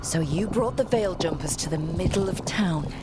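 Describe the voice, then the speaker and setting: A woman's voice: on the low side, expressive, normal speed, noisy background, close to an everyday voice. An actress playing a stern, composed female hero in a video game, speaking in a terse, probing way. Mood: stern, accusing. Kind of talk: video game cutscene acting. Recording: loud music behind.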